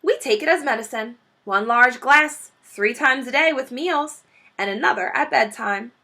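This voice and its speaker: A woman's voice: sits high, moderate pace, vocal fry, bright, forceful tone, voice pushed up, exaggerated voice. A woman voicing an earnest, easily enraptured male badger in a children's storybook, given to exclamations. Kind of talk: children's storybook character reading.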